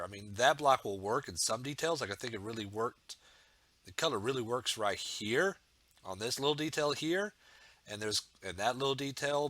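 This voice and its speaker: A man with a fairly low, bright and forceful voice talks through his build as he works, rambling and thinking aloud with plenty of fillers. He is getting over a sore throat and sniffles now and then. The sound is close and dry, with no room behind him. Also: no echo. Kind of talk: casual build commentary.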